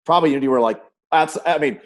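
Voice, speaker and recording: A man's voice: fairly high pitch, fast talker. A middle-aged American man hosting a conversational sports interview. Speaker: sports interview host, play-by-play announcer.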